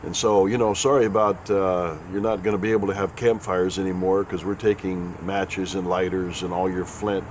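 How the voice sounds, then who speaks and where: A man hosting his own show, talking in a folksy, sarcastic, rambling way, raspy from a head cold. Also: low-pitched, background noise. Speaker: host. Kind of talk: casual spoken commentary.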